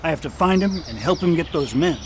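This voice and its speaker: A man's voice: fairly high pitch, animated, a little fast, a little hiss or hum. A man playing an earnest, loyal deputy in an audio western. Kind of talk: amateur radio western acting.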